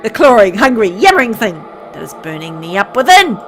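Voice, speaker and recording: A woman reads as a gravely ill man slowly becoming a ravenous vampire, telling his story in the first person. The voice sits at a middle pitch and stays close to her everyday speech. Game music plays clearly underneath. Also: sing-song. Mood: uneasy.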